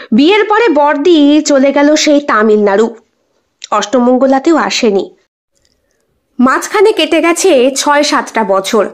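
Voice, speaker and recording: A woman reading a scripted suspense story in a dramatic storytelling style. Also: sits high. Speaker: audio story narrator.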